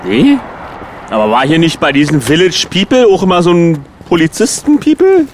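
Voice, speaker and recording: A man's voice: on the high side, very animated, normal speed, a little hiss or hum. A man talking casually in a loose, colloquial conversation with others. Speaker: radio show participant.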